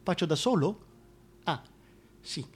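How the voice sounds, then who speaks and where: A man's voice: fairly high pitch, lively intonation, slow pace, husky, exaggerated voice. A man playing a flustered, foul-mouthed presenter in a comic radio play, agitated, stammering and colloquial. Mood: dejected, pleading. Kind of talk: theatrical comic monologue.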